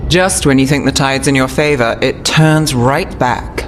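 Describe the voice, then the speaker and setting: A woman's voice: a bass voice, measured pace, slight background noise. A woman playing a cool, world-weary detective in a video game, blunt and guarded, with dry, terse, matter-of-fact delivery. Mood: subdued. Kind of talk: video game character acting. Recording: music behind.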